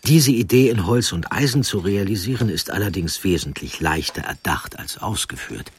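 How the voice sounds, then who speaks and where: A man reading a scripted documentary narration with deliberate, emphatic phrasing. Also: fairly low pitch, animated, slight background noise.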